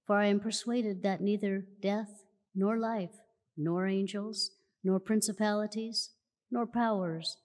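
Slow, solemn woman reading in a hall. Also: mid-range voice, steady tone, darkish tone. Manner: measured, solemn delivery. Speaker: church reader. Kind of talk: slow formal scripture reading. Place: large church auditorium. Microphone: lectern mic from a far camera. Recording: long church hall reverb.

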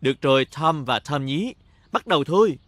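High-voiced man narrating a kids' cartoon. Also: expressive, normal speed, no echo. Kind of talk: scripted narration for a children's cartoon, talking to the characters. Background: music.

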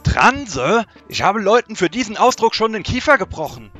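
A menacing, touchy woman, acted by a man in a parody audio drama. The voice is high for a man, forceful and drawn out, full of indignation, with music underneath. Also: sing-song, moderate pace, exaggerated voice. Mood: indignant, threatening. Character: angry transgender woman pulling a pistol, menacing and touchy.

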